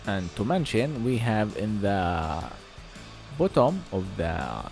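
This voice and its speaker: A man giving an informal voice-over for a phone repair, speaking halting, non-native English. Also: on the low side, sing-song, darkish tone, faint music behind. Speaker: phone repair video host.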